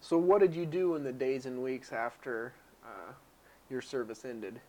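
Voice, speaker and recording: Mellow-voiced male interviewer. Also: medium pitch, unhurried, low-quality recording. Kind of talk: oral history interview questions.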